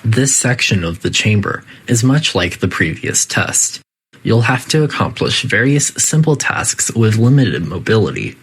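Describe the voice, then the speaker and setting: Male actor as artificial intelligence announcer, lowish pitch, formal, detached, deadpan, coolly polite menace, scripted game announcements. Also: measured pace, breathy edge, heavily acted. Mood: clinical, detached. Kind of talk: scripted test-chamber announcements.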